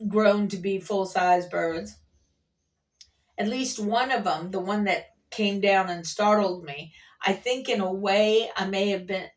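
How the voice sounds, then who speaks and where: A woman with a mid-range voice chats casually in an unhurried, meandering way, like a vlogger sharing everyday stories. Her delivery is folksy and playful. The voice sits a bit away from the microphone. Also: medium pitch, far from the mic. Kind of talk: casual rambling storytelling to camera. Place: at an airport workplace.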